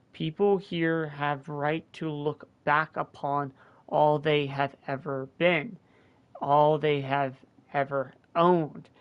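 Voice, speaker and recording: A man's voice: a little high, smooth, highs cut off. A man voicing a weary, guilt-ridden old scholar of gods, reading earnestly with small restarts.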